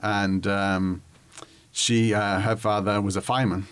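A man in his forties with a Northern English accent, talking thoughtfully and haltingly as he recalls his background in an interview. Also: lowish voice, level tone, clear, resonant tone. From northwest England, near Manchester. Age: 40s. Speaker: interview guest, history professor. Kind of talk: conversational interview, recollection.

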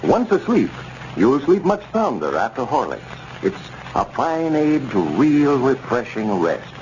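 A man announcing on 1930s radio, reading a scripted sponsor pitch in a polished, measured, formal way. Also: very animated, darkish tone. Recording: dull, noisy 1930s radio recording.

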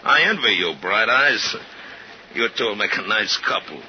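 An actor in a 1950s radio drama playing a beefy, needling cop who poses as a pushy drunk, talking in a folksy, goading way. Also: male voice, fairly low pitch, expressive, moderate pace, lightly acted. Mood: mocking. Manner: folksy, goading, tacks "huh" onto questions. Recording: muffled, noisy 1950s radio recording.

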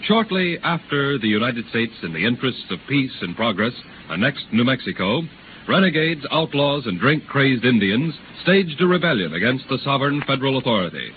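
A man's voice: mid-range voice, sing-song, moderate pace, noisy background. Casting: male 1930s radio narrator, solemn oratory with sweeping intonation, thin narrow-band sound. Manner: solemn, declamatory.

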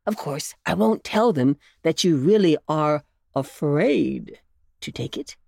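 A woman voicing a kindly old gentleman rabbit in a storybook, speaking in a jolly, coaxing way. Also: low voice, expressive, slow pace, vocal fry, no echo, studio quality, heavily acted.

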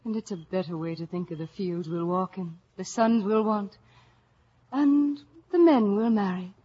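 Actress as a breathy young Irish village girl. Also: medium pitch, moderate pace, natural voice. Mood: dreamy, romantic. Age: young adult. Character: dreamy, eager young Irish village daughter. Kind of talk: 1950s radio drama acting. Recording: muffled old radio recording.

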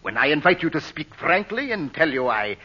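Blunt, forceful villain, husky old radio. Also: male voice, fairly high pitch, sing-song, brisk, heavily acted. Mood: stern, probing. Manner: formal, imperious, clipped phrasing. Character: blunt, commanding foreign man scheming with a recruit. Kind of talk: 1930s-40s radio drama acting. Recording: muffled old radio broadcast recording.

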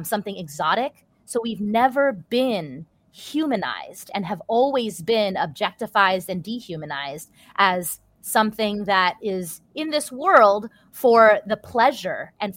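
An articulate woman talks earnestly and assertively in a discussion, her points firm and deliberate. The sound is dry, with very little room to it, and her pitch sits at an ordinary level for a woman. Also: fairly bright tone, no echo.

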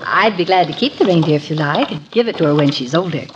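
On a crackling, hissy 1940s radio recording, a kindly, efficient nurse. Her voice is somewhat low, with a polite and composed manner. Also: animated, quick pace, dull audio. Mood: courteous. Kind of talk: 1940s radio comedy acting.

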